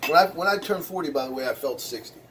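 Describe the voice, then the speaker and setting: A man's voice: fairly high pitch, lively intonation, fast talker, recorded from a distance, noisy background. A man in his sixties, a vlogger and motivational speaker, chatting casually to the viewer in a rambling way while he cooks in his kitchen. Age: 60s. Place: home kitchen.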